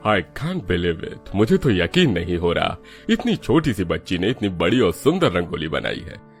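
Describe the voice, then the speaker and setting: Man acting school principal, low pitch, emphatic, stern, fair, cartoon story. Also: very animated, moderate pace, faint music behind. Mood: amazed. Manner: authoritative, emphatic.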